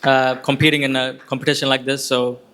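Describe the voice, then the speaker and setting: A man's voice: medium pitch, level tone, fairly quick, pushed and bright, a little hiss or hum. A man from New Zealand, a first-time contestant, introducing himself briefly and modestly. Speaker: singing contestant.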